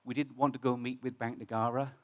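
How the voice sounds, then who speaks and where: British male speaker, mid pitch, chatty conference presenter who restarts phrases and talks to the crowd. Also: level tone, fast. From United Kingdom. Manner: conversational, many restarts, asks the audience questions. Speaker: fintech founder presenting on stage. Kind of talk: conference talk to a live audience. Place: conference hall with audience.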